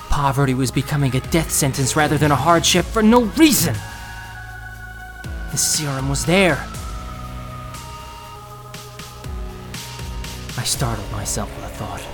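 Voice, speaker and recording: An actor playing an anxious, self-doubting prisoner who tells his own story confessionally in an audio drama. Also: mid-range voice. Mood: urgent, indignant. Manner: confessional, emotionally swinging storytelling.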